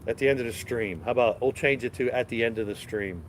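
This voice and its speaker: A stuffed-up man chatting loosely to his live-stream viewers as he strolls through his garden with a phone in hand. His voice sits at an ordinary middle pitch, and faint outdoor noise runs behind him. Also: fast talker. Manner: rambling, laid-back, talks to chat while walking. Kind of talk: casual live-stream chat. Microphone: phone camera held while walking.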